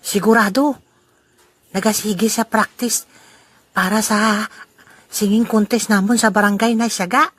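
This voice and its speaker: A woman's voice: medium pitch, fairly even intonation, measured pace, long pauses, breathy edge, dry recording. A woman playing a boastful, teasing old man in an amateur audio drama, laughing often. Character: boastful old man Lolo Albino, jolly rival.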